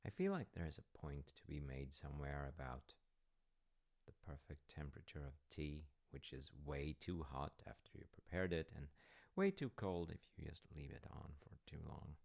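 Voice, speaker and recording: A man playing a gentle, reflective dad in an unhurried, intimate audio roleplay chat, gravelly and at times breathy. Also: extremely low pitch. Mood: warm, relaxed. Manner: reflective, gently encouraging, pausing. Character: gentle wholesome dad chatting warmly over tea.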